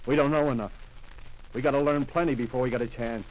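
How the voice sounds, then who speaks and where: An actor playing a rough, power-hungry soldier in a 1930s radio drama, talking in coarse streetwise slang and pressing his point. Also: mid-range voice, fairly even intonation, fast, darkish tone, close to an everyday voice, rough recording. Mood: scheming.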